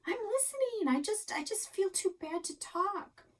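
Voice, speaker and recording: A woman's voice: extremely high pitch, animated, a little slow, pitched above the performer's own voice. A woman voicing a mischievous young girl in a children's story, sheepish and dramatic. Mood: sheepish, evasive. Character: fearless, mischievous young girl narrating her troubles. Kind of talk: children's novel read-aloud.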